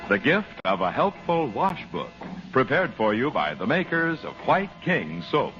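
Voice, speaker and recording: A man's voice: mid-range voice, big pitch swings, measured pace, a bit bright, soft background music. An American man working as a radio announcer, reading a scripted advertisement in 1940s style. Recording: muffled old radio recording.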